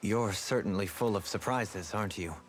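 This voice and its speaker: Actor as a refined, low-voiced scholarly nobleman. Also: moderate pace, background noise, lightly acted. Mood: pondering. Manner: formal, eloquent. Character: refined, scholarly noble man, measured and thoughtful. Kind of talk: video game voice acting. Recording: music behind the voice. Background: game music.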